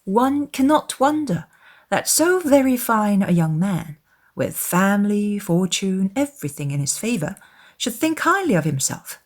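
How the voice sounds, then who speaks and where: Woman playing a lively, knowing young gentlewoman. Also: mid-range voice, a little slow. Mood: reasonable. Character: teasing, self-assured young gentlewoman neighbour. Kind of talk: dramatized audiobook of a classic novel.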